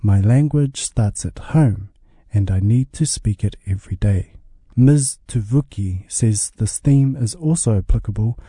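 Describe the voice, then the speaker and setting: A man's voice: fairly low pitch, a little slow, warm tone, breathy edge, no room sound, studio quality. A man reading a scripted radio news report as the reporter.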